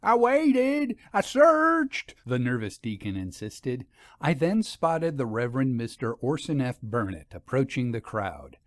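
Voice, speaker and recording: A man reading a scripted story as narrator, in a measured, literary storytelling manner. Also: medium pitch, lively intonation, a little mellow, no echo.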